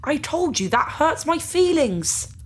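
A woman's voice: high-pitched, big pitch swings, takes it slowly, full character voice. A woman playing a cold, dismissive captor aboard a spaceship in a science fiction audio drama, haughty in manner. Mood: hurt, commanding.

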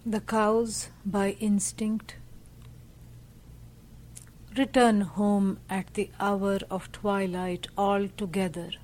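A woman leading a guided meditation as a teacher, speaking gently in short, measured phrases with pauses. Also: mid-range voice, little pitch movement, low-quality recording. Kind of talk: slow guided meditation narration.

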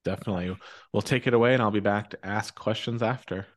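A man's voice: on the low side, fast. A man hosting a casual author interview podcast, talking in an informal, hesitant way. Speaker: podcast host.

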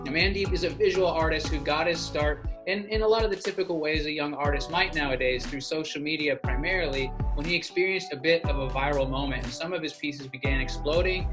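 An enthusiastic male podcast host talks in a loose, chatty way, full of "uh" and "um" as he goes. His voice sits a bit high, and music plays clearly behind him. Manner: enthusiastic, chatty, many fillers. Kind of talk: interview podcast intro and chat.

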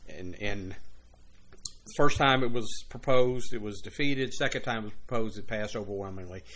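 A man's voice: lowish voice, normal speed, a bit bright. A man in his sixties from Louisiana, a retired police officer and author, telling long rambling anecdotes in a casual interview, with frequent "you know" asides. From Louisiana, USA. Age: 60s.

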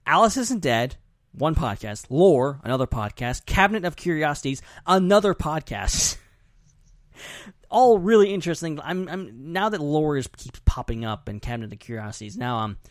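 A man's voice: on the high side, lively intonation, normal speed, no echo, clean studio sound. A man hosting a podcast in casual chat, talking in an informal, jokey, rambling way. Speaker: podcast host.

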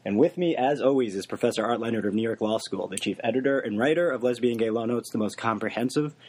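A man hosting a legal podcast in a quick, joking way, his voice a little worn from losing it. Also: mid-range voice, a little mellow, slight background noise. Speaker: podcast host. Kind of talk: casual podcast banter.

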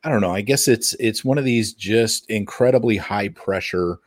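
A man co-hosting a podcast in casual chat, animated in the way he talks. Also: lowish voice, normal speed, dry recording. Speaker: podcast co-host.

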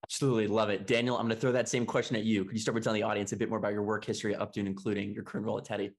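A man hosting a podcast, introducing the episode and asking guests questions in a brisk, upbeat way. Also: fairly low pitch, flat delivery. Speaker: podcast host.

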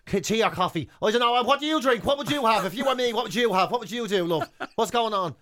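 A man's voice: high-pitched, expressive, fast talker, fairly bright tone, no echo, clean studio sound, pitched above the performer's own voice. Man putting on a flustered, fretful hotel guest. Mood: flustered panic. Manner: flustered, firing anxious questions. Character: fretful, overwhelmed man fussing at a hotel breakfast buffet. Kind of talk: comic mimicry.

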